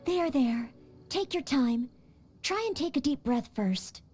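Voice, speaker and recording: An earnest, polite young maid in a video game, gentle and eager to help. Her voice sits high and soft, mellow in tone with lively rises and falls. Faint music plays behind. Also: slow pace. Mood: reassuring.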